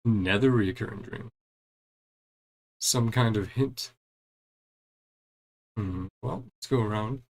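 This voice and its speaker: Gravelly-voiced man casually playing a game. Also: lowish voice, slow pace, pauses often, low-quality recording. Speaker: gaming streamer. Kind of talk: casual game playthrough commentary, reading dialogue aloud.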